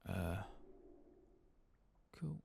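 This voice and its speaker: A soft-spoken man murmuring unhurried video game commentary in an ASMR style, at times slipping into a whisper. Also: low-pitched, animated, vocal fry, slight background noise. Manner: murmured, unhurried, reading quest text aloud. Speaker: ASMR gaming channel host.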